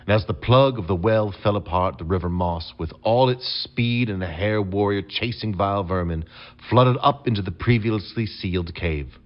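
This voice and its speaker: A man reading scripted storybook narration for an audio adventure game in a measured, dramatic storytelling manner. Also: fairly low pitch, lo-fi sound.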